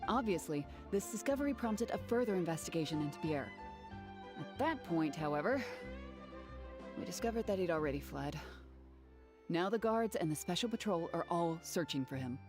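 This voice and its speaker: A woman's voice: fairly low pitch, lively intonation, normal speed, lightly acted. An actress voicing an earnest, businesslike female guard officer in a video game, speaking formally and articulately. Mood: matter-of-fact. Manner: formal, articulate, matter-of-fact. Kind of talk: scripted video game voice acting. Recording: game audio with background music. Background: background music.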